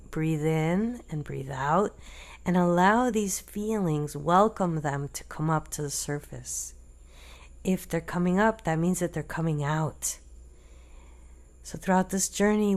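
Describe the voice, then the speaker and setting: A woman leading a guided meditation in a gentle, deliberate manner, leaving long pauses between short phrases. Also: on the low side.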